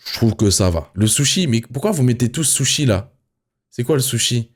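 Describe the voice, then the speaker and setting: Man, live album-review streamer, mid-pitched voice, swears a lot, laughs often, rants at chat. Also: moderate pace. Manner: swears a lot, addresses chat, jumps from musing to excited ranting. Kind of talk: live reaction stream, casual chat to viewers.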